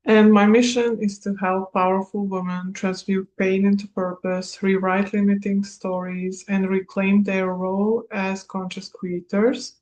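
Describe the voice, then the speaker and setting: A woman in her thirties from Serbia, a native Hungarian speaker, giving a recorded spiritual coaching class in a measured manner. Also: mid-range voice, level tone, darkish tone, low-quality recording. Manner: slow, measured, with small laughs. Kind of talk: recorded masterclass talk.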